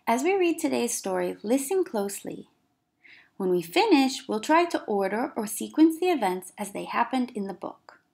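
A woman teacher reading a children's story aloud, with expressive, careful emphasis for young listeners. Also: fairly high pitch, normal speed, creaky. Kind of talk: children's read-aloud narration.

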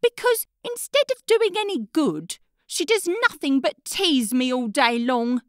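A woman's voice: high-pitched, sing-song, unhurried, no room sound, clean studio sound. A woman voicing a sulky young goose boy who complains to the king in a whiny tone. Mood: complaining.

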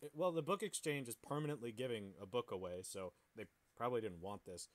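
A man's voice: medium pitch, quick pace. A man co-hosting a podcast, bantering casually and reading aloud. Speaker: podcast host.

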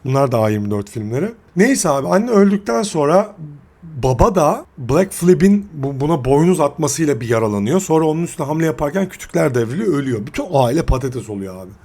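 Male film reviewer: slightly high, husky voice, chatty and slangy, stumbles and restarts his sentences. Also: animated, a little mellow. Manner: halting, self-correcting delivery. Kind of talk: casual, slangy film commentary.

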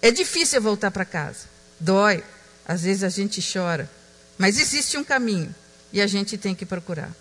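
A woman giving a reflective talk on stage, slow and emphatic, dropping to a hush on key words. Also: medium pitch, animated, in a large hall, rough recording. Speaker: speaker.

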